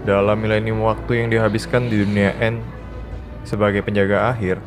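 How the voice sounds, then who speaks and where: A man's voice: on the low side, little pitch movement, moderate pace, natural voice. A man voicing the benevolent soul of an ancient dragon god, telling its story solemnly in a Minecraft roleplay. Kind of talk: dramatic scripted narration in Minecraft roleplay. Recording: music behind.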